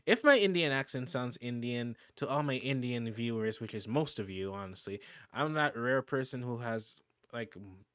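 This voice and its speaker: A man's voice: mid-range voice, lively intonation, normal speed, hardly any pauses, fairly bright tone, smooth, dry recording. A man giving casual, rambling reaction commentary on a video, often restarting and laughing. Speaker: YouTube reaction host.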